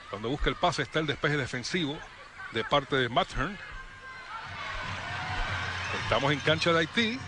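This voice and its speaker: A man calling play-by-play for a youth football match, brisk and lively on attacks. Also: a little high, noisy background. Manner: brisk, rising excitement on attacks. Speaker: play-by-play commentator.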